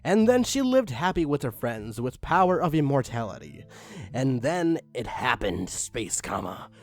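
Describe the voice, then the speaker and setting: A man reading a badly written story aloud with mocking deadpan asides and spoken punctuation, over faint music. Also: mid-range voice, unhurried. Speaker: reader of online stories. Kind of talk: comedic dramatic reading with commentary.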